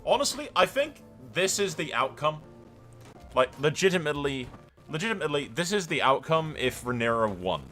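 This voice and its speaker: A man's voice: fairly high pitch, sing-song, moderate pace, fairly bright tone, soft background music. A man hosting gameplay commentary with roleplay, sweary and emphatic, rambling and given to theatrical declarations. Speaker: gaming content creator and host.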